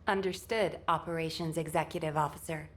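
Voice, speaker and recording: An actress playing a capable, stern, dryly wry woman soldier with a brisk manner in a video game cutscene. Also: low-pitched, close to an everyday voice. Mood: wry, composed.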